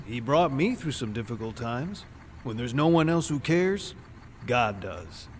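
A man playing a kindly, devout highway worker and friend in a radio drama. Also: sing-song, a little slow. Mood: kindly, encouraging. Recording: long reverb, faint background noise.

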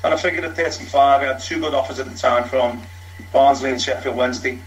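A man's voice: mid-range voice, flat delivery, quick pace, a little hiss or hum, low-quality recording. Middle-aged man, Liverpool accent, frank storyteller, ex-footballer interviewee. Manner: frank, talks in long runs with fillers. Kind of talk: candid storytelling.

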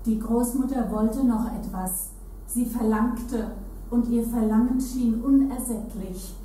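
A woman's voice: on the high side, measured pace, mellow. A woman narrating literature in a staged reading. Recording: echoey hall, distant, noisy.

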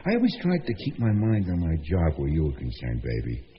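An actor in a radio drama playing a doting, protective lover with a folksy, slangy manner. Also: male voice, rumbling low, huge pitch swings, brisk, exaggerated voice. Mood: tender. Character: Morty, doting, protective showbiz manager lover. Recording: muffled, noisy, reverberant.

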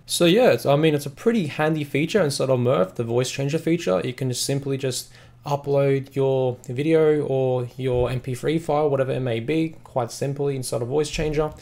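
A man hosts a casual software walkthrough. His voice has a lot of fry and gravel in it. He explains each step in a loose, repetitive, rambling way. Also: mid-range voice. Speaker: software reviewer, tutorial host. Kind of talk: casual screen-recording tutorial narration.

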